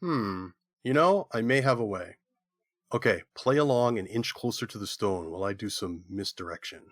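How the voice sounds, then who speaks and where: A man's voice: on the low side, lively intonation, moderate pace, no room sound, clean studio sound, lightly acted. A man playing a chatty, scheming gnome with a glib, matter-of-fact delivery in a comedic audio skit. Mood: weary, scheming. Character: scheming, chatty male gnome stuck with editing.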